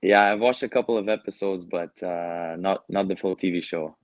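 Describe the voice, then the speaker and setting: A man's voice: sits low, moderate pace, no room sound. A young man with a Canadian accent, answering interview questions casually and with many fillers. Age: young adult. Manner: relaxed, hesitant with many fillers. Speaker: guest soccer player.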